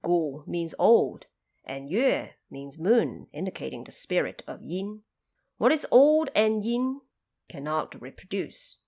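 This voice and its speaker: A woman voicing a stern, wise old male patriarch in an audio drama, commanding and deliberate as he lectures. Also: lowish voice, heavily acted.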